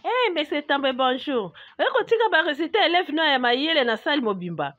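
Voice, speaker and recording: A woman with a Congolese accent playing an indignant, scolding market-trader mother in an animated comedy. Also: sits high, normal speed, dry recording, lo-fi sound. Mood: expectant.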